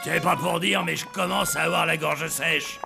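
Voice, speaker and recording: A man's voice: a little high, fairly even intonation, moderate pace, vocal fry. A man voicing a demanding, sneering monster hotel guest in a cartoon. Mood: irritated. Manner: sneering, haughty, complaining. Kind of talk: animated children's series acting. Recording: music behind.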